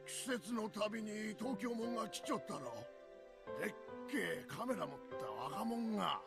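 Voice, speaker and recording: An elderly country gossip from a visual novel. The voice is high and creaky, swinging freely up and down in a rural Japanese dialect, with music underneath. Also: male voice, animated, fast talker. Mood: gossipy, wistful. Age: older.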